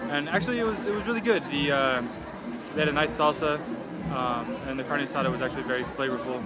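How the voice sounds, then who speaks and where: A man's voice: on the high side, fast talker, rough recording. A young man hosting a casual food vlog, talking into a phone held at arm's length outdoors, laid-back with casual slang. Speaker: food vlogger host. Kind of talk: casual handheld food review. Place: outdoor street festival on a pedestrian mall. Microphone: phone camera held at arm's length. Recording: outdoor festival with loud music and crowd. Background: loud band music and crowd.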